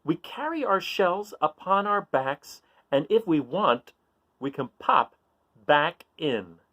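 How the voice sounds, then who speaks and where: A man's voice: on the high side, very animated, slow, pushed and bright. A man hosting a children's read-aloud, reading a picture book and chatting in an encouraging way, often putting questions to his listeners.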